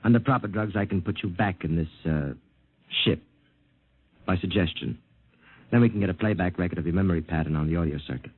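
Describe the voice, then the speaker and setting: An actor playing a calm, clinical doctor who speaks in a measured, authoritative way, in a 1950s radio drama acting style, heard through a tinny old radio broadcast. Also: male voice, sits low, slightly rough. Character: calm, clinical middle-aged male doctor.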